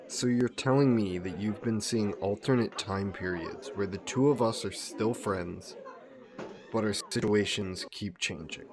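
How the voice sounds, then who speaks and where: A man playing a soft yandere god who poses as a childhood friend, gentle, coaxing and evasive in an intimate roleplay audio drama. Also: fairly low pitch, unhurried, crowd noise. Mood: casual, skeptical.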